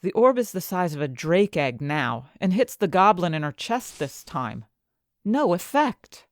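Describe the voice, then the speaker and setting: Woman acting an expressive, low-voiced ambitious scientist. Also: no room sound, clean studio sound. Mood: tense narration. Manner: dramatic, deliberate storytelling. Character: ambitious, conflicted female scientist narrating her own story. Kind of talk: first-person audio fiction narration.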